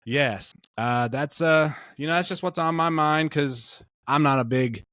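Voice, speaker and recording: A man's voice: on the high side, measured pace, fairly bright tone, dry recording, studio quality. A man in his late thirties co-hosting a casual podcast chat, rambling with many fillers and restarts. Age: 30s. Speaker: podcast co-host.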